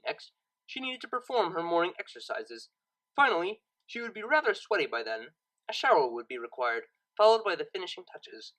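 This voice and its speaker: A woman's voice: lowish voice, big pitch swings, fairly quick, fairly bright tone, rough recording. A woman reading scripted story narration as the narrator of an audio tale.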